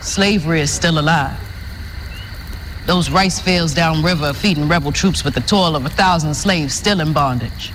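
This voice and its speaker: An actress playing a resolute abolitionist woman in a period film, speaking in measured, solemn oratory. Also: low-pitched, fairly bright tone, a little hiss or hum, rough recording. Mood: solemn resolve. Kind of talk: period film drama speech.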